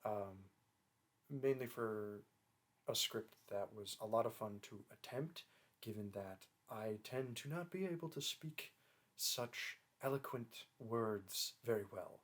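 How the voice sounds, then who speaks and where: A man's voice: lowish voice, slow pace, fairly bright tone. A man who does voice acting, talking to his listeners in a casual, modest, rambling and hesitant way. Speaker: voice actor, channel creator.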